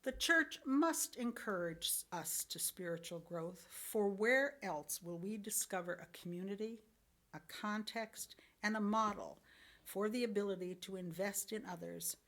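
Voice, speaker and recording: A woman reading a sermon from a script as a lay church speaker, in earnest, deliberate phrases. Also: medium pitch, a bit bright. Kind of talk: sermon, read from a script with short personal commentary.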